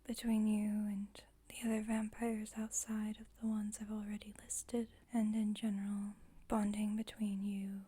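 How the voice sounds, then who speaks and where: A woman playing a calm, formal, caring vampire coven leader in a whispered ASMR roleplay, speaking in a gentle, measured, breathy whisper. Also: mid-range voice, close to an everyday voice. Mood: calm, instructive.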